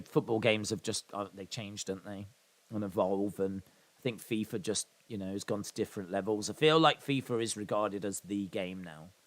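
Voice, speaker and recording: A rambling, chatty man in his thirties from England hosts a live watch-along stream. He drifts between memories and viewer comments with self-deprecating asides, his voice sitting at an ordinary middle pitch. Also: a bit bright.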